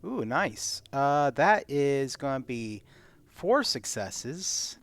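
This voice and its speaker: A man plays a tabletop game, talking casually in a medium-pitched voice. His delivery is slow, and he mutters and counts aloud as he goes. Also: big pitch swings, a bit bright, no room sound.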